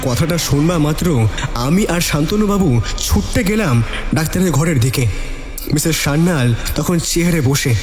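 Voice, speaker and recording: A higher-pitched male narrator tells a suspenseful detective tale in a dramatic first-person style. His voice carries a long, echoing reverb, with music playing underneath. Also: animated, normal speed, darkish tone. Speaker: story narrator.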